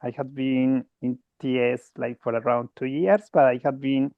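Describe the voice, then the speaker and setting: A man, his voice gravelly and dry. He speaks haltingly over a tinny, phone-like video call line. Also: mid-range voice, little pitch movement, no echo. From Colombia. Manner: hesitant, with filler "eh" sounds. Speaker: debate panelist. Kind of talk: casual self-introduction.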